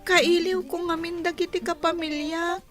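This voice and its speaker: A woman's voice: very high-pitched, level tone, normal speed, music in the background. A woman playing a sad, pleading young child who whines plaintively in an Ilocano radio drama. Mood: sulky, downcast. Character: sad, pleading young child, one of many siblings, left behind.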